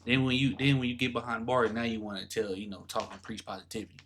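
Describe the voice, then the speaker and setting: A man's voice: mid-range voice, flat delivery, fast, fairly bright tone. A man hosting a reaction video in casual, slangy, repetitive speech with an incredulous manner.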